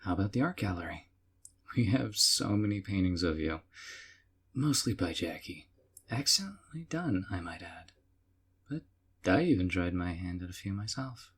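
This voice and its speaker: A man playing a doting, obsessive young man who keeps the listener, speaking in a calm, hushed, sweetly possessive way in an audio roleplay. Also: sits low, moderate pace, slightly rough, heavily acted.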